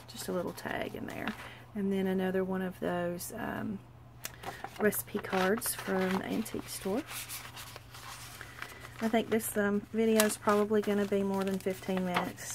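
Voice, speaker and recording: A woman sounding stuffy and sniffly from a cold, speaking at a middling pitch. She chats in a hurried, gushing way as she shows off her crafts. A faint hiss of room noise sits behind her voice. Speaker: crafter showing a handmade journal. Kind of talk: casual craft walkthrough.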